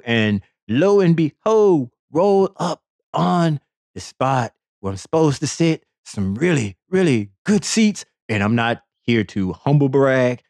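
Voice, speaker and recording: A man's voice: fairly high pitch, darkish tone, dry recording. A man hosting a sports podcast, telling a playful, boastful story in a rambling comedic monologue with lots of repetition.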